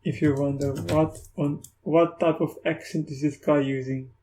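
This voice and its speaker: A South African man with a slightly raised pitch and a flat, level delivery. He talks through a screen recording in a loose, thinking-aloud way, often breaking off and starting his sentences again. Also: moderate pace. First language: Afrikaans. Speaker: tech YouTuber. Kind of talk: casual screen-recorded software walkthrough.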